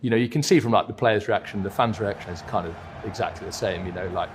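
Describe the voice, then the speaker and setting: A man reminisces casually in an interview. He has a deep voice and talks quickly, with faint noise behind him.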